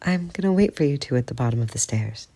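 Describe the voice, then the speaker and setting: A man putting on a calm, wry mother. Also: quick pace, natural voice. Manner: calm, casual, slightly higher put-on voice. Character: composed, wry middle-aged mother and guardian.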